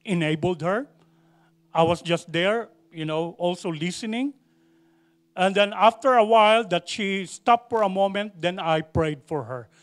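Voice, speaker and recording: A man preaching a sermon to a congregation, repeating phrases for emphasis and asking the church questions. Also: sits high. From Philippines. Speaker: pastor.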